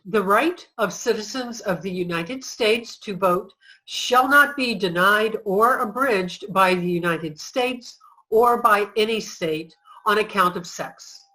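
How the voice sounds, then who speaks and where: A woman's voice: medium pitch, slow, pushed and bright, poor audio. A woman in a reader's theater formally reading out a quoted legal text.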